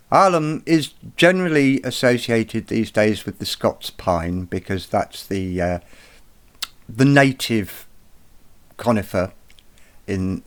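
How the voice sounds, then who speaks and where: A man presenting an explanatory lesson, speaking in a slow, measured way and taking care over each pronunciation. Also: fairly low pitch. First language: not Irish. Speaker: presenter of an educational video.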